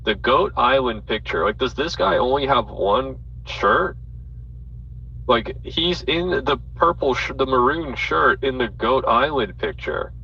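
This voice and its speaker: A man's voice: medium pitch, lively intonation, measured pace, creaky, breathy edge, far from the mic. A man hosting a live audio chat, rambling and swearing as he thinks aloud, with plenty of fillers. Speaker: host. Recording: dull audio from off mic with faint noise.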